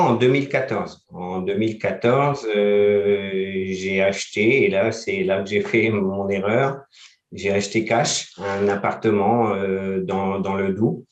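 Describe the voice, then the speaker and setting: A man's voice: fairly low pitch, echoey room, highs cut off. A man in his forties from France, a guest in a casual interview, who talks hesitantly with many fillers. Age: 40s.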